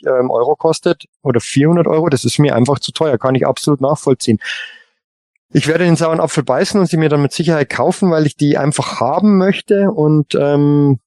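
A man's voice: on the high side, darkish tone, dry recording, studio quality. A man giving his views as a panelist in a casual fan podcast discussion.

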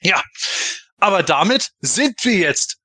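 A man's voice: high-pitched, big pitch swings, slow pace, bright, forceful tone, slightly rough, no echo. A man hosting a casual fan podcast, talking through his opinions in a discussion. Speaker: podcast host.

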